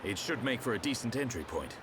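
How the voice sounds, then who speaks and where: A man's voice: medium pitch, very animated, noisy background, lightly acted. Man playing resolute warrior leader, richly inflected. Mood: grave, determined. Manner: measured, commanding, formal. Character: resolute, battle-seasoned male warrior leader, grave and composed. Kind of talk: dramatic video game cutscene acting. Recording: music behind the voice.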